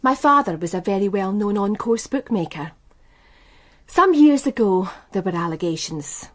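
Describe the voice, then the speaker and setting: A woman's voice: mid-range voice, expressive, normal speed, close to an everyday voice. A woman playing a proud, worldly businesswoman with an English accent in a British radio crime drama, composed, articulate and coolly self-assured. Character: poised, proud, worldly businesswoman running a bookmaking empire.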